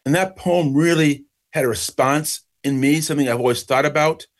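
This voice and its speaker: A middle-aged man from Jamaica, raised in New York state, answering interview questions about his book in a reflective, measured way. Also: fairly high pitch. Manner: reflective, measured, slows for emphasis. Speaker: author.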